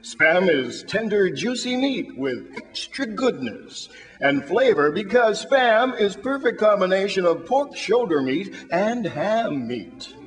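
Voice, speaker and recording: An American man announcing and selling in an upbeat, clearly enunciated 1940s radio commercial style. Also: sits high, sing-song, slow pace. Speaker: radio announcer and sponsor pitchman. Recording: old radio broadcast with music.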